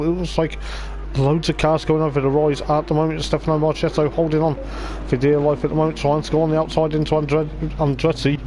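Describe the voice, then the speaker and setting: A man with a voice going hoarse, giving rambling live race commentary on a stream, with lots of hesitant fillers. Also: a little high, background noise. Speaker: sim racing league commentator.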